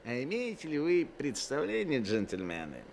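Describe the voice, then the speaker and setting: A sly, secretive driver with a very lively, swooping voice in an old radio play. He talks with a teasing, jaunty edge. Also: mid-range voice, moderate pace, creaky, background noise. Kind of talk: 1970s Soviet radio play acting.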